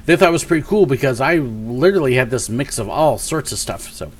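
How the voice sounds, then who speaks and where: A man's voice: medium pitch, animated, a little fast, a little hiss or hum. A man in his forties, a bit sniffly from a cold, chatting through a live question-and-answer stream from his home office at a desk webcam, upbeat and rambling with lots of fillers. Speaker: YouTube host. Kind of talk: live Q&A and mail call. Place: home office with shelves of collectibles.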